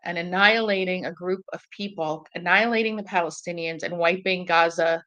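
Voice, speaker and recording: A woman's voice: on the low side, brisk, sharp tone, lo-fi sound. A woman from Egypt speaking as a panelist, impassioned and searching for words. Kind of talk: panel discussion.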